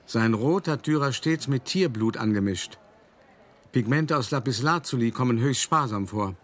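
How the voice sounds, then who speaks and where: An actor playing a cultured, self-satisfied male art forger, confessing in a measured, reflective, articulate radio drama monologue. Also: medium pitch, animated, natural voice. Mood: precise, proud. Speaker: first-person narrator.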